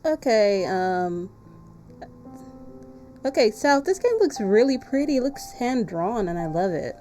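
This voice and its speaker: A woman with a soft-edged, mellow voice hosts a cozy game stream. She talks in an informal, chatty way and repeats herself as she goes. Faint music plays behind her. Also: medium pitch, sing-song, unhurried. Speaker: streamer hosting a visual novel playthrough. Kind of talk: casual live-stream chat.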